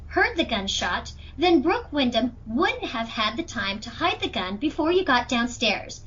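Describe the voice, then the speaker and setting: A woman plays a young female defense lawyer with a high voice. She questions in a pointed, theatrical way, as in a courtroom radio play. The recording is dull and lo-fi. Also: a bit bright, breathy edge, exaggerated voice. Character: young female defense attorney, chatty then incisive, in courtroom. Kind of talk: amateur audio drama courtroom acting. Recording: dull lo-fi audio.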